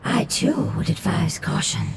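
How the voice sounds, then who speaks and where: A woman playing a composed, cautious adviser in a video game, serious, formal and measured. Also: very noisy, close to an everyday voice. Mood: cautious, grave. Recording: echoey, distant, under loud music.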